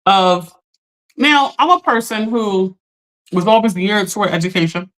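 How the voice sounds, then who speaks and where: A woman's voice: unhurried, low-quality recording. Casual woman hosting a live chat, voice still recovering. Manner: chatty, talks straight to chat, animated pitch jumps. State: recovering from lost voice. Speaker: livestream host.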